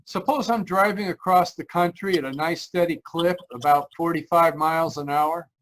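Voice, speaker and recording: A man's voice: a little high, fairly even intonation, normal speed, a bit bright, close to an everyday voice, rough recording. An actor playing a haunted, anxious driver narrating his road trip in old-time radio style, tense and earnest. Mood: uneasy. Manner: tense, earnest, dramatic storytelling. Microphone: video call. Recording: live video-call performance.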